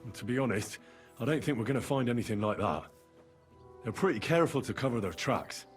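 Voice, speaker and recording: A man's voice: mid-range voice, lively intonation, fairly quick, faint music behind, close to an everyday voice. A man voicing an earnest, determined young investigator in a medieval video game cutscene, talking plainly and conversationally. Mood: scheming, resolute.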